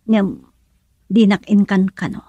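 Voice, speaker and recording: A gravely ill young woman in love. Her voice is husky and breathy, recorded close and dry. She speaks tenderly and haltingly, resigned and sorrowful, often sinking toward a whisper in romantic radio drama style. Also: mid-range voice, no echo, highs cut off. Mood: sad, confessing. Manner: soft, halting, emotional delivery, often near whisper.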